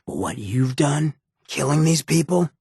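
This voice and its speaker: An actor playing a roguish, streetwise ghoul in a video game, with a very raspy, gravelly voice and a cocky, laid-back, slangy way of talking. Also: male voice, fairly high pitch, expressive, measured pace, a little mellow, dry recording, clean studio sound, heavily acted. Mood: stern, disappointed. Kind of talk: video game companion dialogue.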